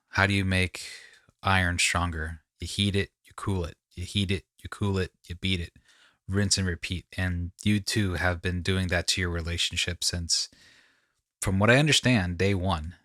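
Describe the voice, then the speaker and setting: Deep-voiced man, bright and close. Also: fairly even intonation, normal speed, no echo. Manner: emphatic, effusive, repeats phrases for effect. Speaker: podcast host. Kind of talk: casual conversational interview.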